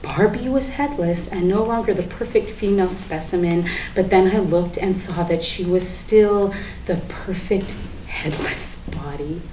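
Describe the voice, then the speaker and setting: A woman's voice: fairly low pitch, a little slow, lightly acted. Actress playing a bitter, manic woman in an echoey room. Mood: hushed, conspiratorial. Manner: theatrical, emphatic, repetitive, sardonic, swinging from hushed to ranting. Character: bitter, manic woman confessing her war on a Barbie doll. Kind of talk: dramatic monologue at a staged reading. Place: indoor room, live staged reading. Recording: echoey room with background hiss.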